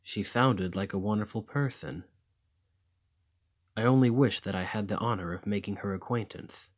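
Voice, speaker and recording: A man playing a centuries-old vampire gentleman in a scripted ASMR roleplay, weary and courteous, with formal, old-fashioned manners and a measured delivery. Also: on the low side, lightly acted. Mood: polite, regretful.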